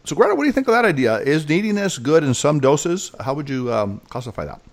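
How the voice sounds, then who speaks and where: A chatty American man hosts a podcast interview. His voice sits a little high and moves around a lot in pitch. He speaks in an animated, conversational way. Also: fast talker. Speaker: host, clinical psychologist and speaker.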